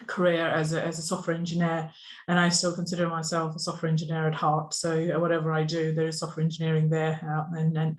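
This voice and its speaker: A speaker from Pakistan gives an online talk about software teams over a video call, in an earnest, halting way with frequent ums and restarts. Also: medium pitch, low-quality recording. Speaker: software engineer giving a conference talk. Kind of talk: online presentation.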